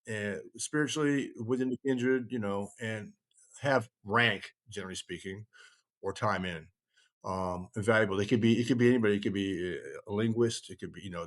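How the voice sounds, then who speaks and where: Casting: male podcast talker, lowish voice, loose rambling delivery that halts and trails off, casual storytelling. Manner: rambling, halting, frequently trailing off. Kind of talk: casual conversational storytelling and explaining.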